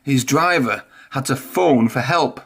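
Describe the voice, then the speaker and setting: A man narrating a children's story aloud in an expressive read-aloud style. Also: mid-range voice, unhurried, gravelly, husky. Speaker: storybook reader.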